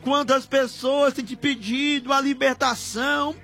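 A man's voice: extremely high pitch, fairly even intonation, a little slow, bright, forceful tone. A Brazilian man preaching in fervent, improvised prayer, pleading emotionally and often swelling into cries. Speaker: preacher leading prayer on a broadcast. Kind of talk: fervent extemporaneous Pentecostal prayer. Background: background music.

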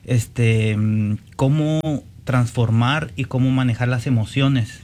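Man, Guatemalan accent, medium pitch, conversational, guest speaker on a panel. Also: steady tone, takes it slowly, darkish tone, slight background noise. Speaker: guest, painter. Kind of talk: conversational self-introduction on a panel.

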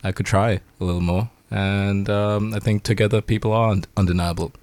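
Deep-voiced man, casual and rambling. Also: fairly even intonation, brisk, darkish tone, no echo. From Sri Lanka. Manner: rambling, swears a lot, laughs often. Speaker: band member guest. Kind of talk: casual radio interview chat.

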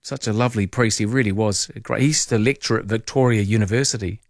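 A man with a New Zealand accent hosting late-night talkback radio in a chatty, jokey way, full of quick asides. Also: lowish voice, gravelly, no echo, clean studio sound. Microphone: studio microphone.

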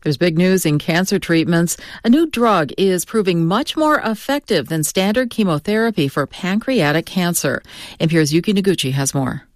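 A woman newscaster reading the hourly news in a crisp, even news read. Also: on the low side, moderate pace, dry recording, clean studio sound. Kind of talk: scripted newscast.

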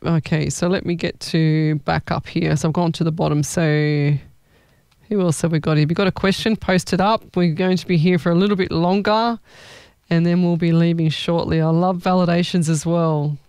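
A woman's voice: on the low side, steady tone, moderate pace, a little mellow, clean tone, no echo, studio quality. A woman hosting a casual live chat as a psychic medium, talking in an informal, exclaiming way.

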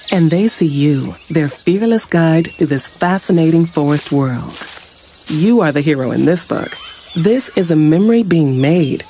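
A narrator reading a public service ad in soft, evocative storytelling. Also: medium pitch, expressive, a little slow, a little hiss or hum. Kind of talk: gentle scripted narration.

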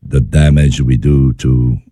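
A man with an Italian accent, a guest in a studio interview, speaking haltingly as he searches for English words. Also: extremely low pitch, fairly even intonation, dark tone, no echo. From Italy. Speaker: guest chef.

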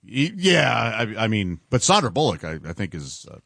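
A man's voice: lowish voice, sing-song, normal speed, creaky, a bit bright, no room sound, clean studio sound. A man co-hosting a talk show, joking and laughing easily. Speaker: radio co-host. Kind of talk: casual banter.

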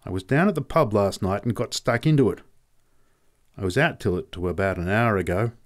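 Man playing gruff, short-tempered working man. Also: on the low side, brisk, lightly acted. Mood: gruffly explaining. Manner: barking, swearing, impatient. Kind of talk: dramatized short-story reading.

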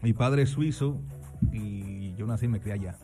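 A man's voice: on the low side, normal speed, warm tone, soft background music. A man in his thirties from Switzerland with a Dominican accent, an earnest, upbeat guest musician answering in a radio studio interview. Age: 30s. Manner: earnest, upbeat, repeats affirmations.

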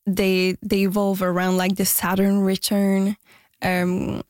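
A woman answers questions about her music in a soft, halting way. Her pitch sits in a middle range. The recording is dry and close. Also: monotone, no room sound. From Quebec, Canada. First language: French and Spanish. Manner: soft-spoken, hesitant, many fillers and restarts. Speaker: musician guest. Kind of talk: interview answers.

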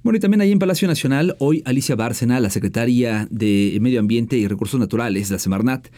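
A man's voice: mid-range voice, dark tone. A man with a Mexican accent presenting radio news in a partly scripted, partly conversational style as host.

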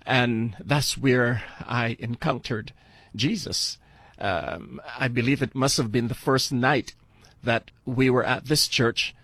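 Older radio guest from Barbados, earnest and unhurried, dry close mic. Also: male voice, medium pitch, steady tone, a bit bright, no echo. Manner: earnest, halting with fillers and restarts. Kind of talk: interview, telling his life story.

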